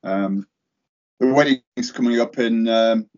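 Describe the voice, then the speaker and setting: A male podcast guest chatting casually, his voice croaky from a recent cold. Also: medium pitch, slow, darkish tone. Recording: dull lo-fi sound.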